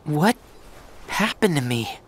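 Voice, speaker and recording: An actor playing an earnest, headstrong, troubled teenage boy in a video game, with halting delivery. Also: fairly high pitch, very animated, exaggerated voice. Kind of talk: video game cutscene voice acting.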